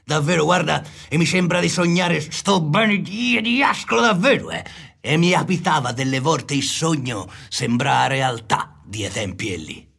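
A man's voice: fairly high pitch, lively intonation, normal speed, creaky, fairly bright tone, breathy edge, exaggerated voice. An actor with a Tuscan accent playing a boastful, lovestruck, fearless man in a colloquial radio play. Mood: dreamy.

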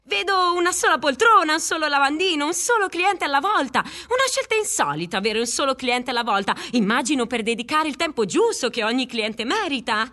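A woman's voice: high-pitched, animated, brisk, hardly any pauses, sharp tone. A woman playing a relentlessly upbeat livestream blogger in a comic radio drama, gushing and exclaiming. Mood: perky, curious. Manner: gushing, exclamatory, hashtag-spouting.

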